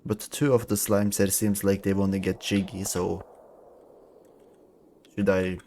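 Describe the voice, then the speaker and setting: A man's voice: low-pitched, flat delivery, a little slow, warm tone, a little hiss or hum. A man casually chatting and bantering as he streams a video game with friends. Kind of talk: casual chat while gaming with friends. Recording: music playing behind the voice.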